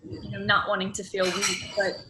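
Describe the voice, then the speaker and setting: A woman's voice: mid-range voice, completely flat delivery, normal speed. A woman interviewer talking in a casual, conversational way, with tentative phrasing and enthusiastic affirmations. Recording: noticeable background noise.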